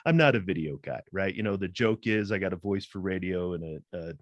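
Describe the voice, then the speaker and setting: A middle-aged man talks as a podcast guest, measured and deliberate, often checking in with "right?". His voice is somewhat low. The sound is dry and close. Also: lively intonation, dry recording, dull audio. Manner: deliberate, thoughtful, frequent "um" and "right?". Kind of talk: interview conversation.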